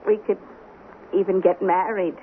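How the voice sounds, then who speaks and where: A woman's voice: medium pitch, fairly even intonation, measured pace, close to an everyday voice. Woman acting a prim, stubborn diner woman, tinny recording. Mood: haughty, insistent. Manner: indignant, pompously formal diction. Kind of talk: 1970s radio drama acting. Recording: thin, noisy vintage radio recording.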